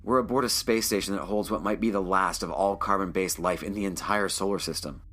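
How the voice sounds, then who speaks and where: A man playing a stern, weary, protective ship's captain in an audio drama, speaking in a firm, measured way. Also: sits low, fairly bright tone. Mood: grave. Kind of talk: scripted audio drama acting.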